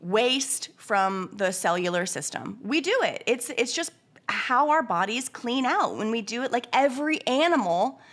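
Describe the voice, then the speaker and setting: A woman co-hosting a casual podcast, hoarse and sniffly from a summer cold, musing earnestly and talking with animated swings. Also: on the high side, a little slow. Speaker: podcast co-host.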